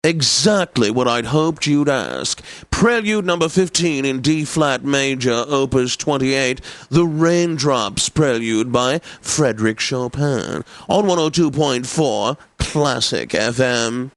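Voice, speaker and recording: An actor playing a pompous, snobbish classical radio DJ in a video game, theatrical and jovial, with flowery diction. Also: male voice, medium pitch, expressive, slow pace, few pauses, no room sound. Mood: playfully pompous.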